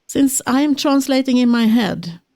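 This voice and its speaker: A Swedish-accented woman hosting a podcast, talking things over earnestly with a guest. Her voice is gravelly and mellow, and the sound is dry and close. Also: high-pitched, lively intonation, a little slow, no echo. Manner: earnest, reflective, frequent restarts. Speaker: podcast host. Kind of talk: interview conversation.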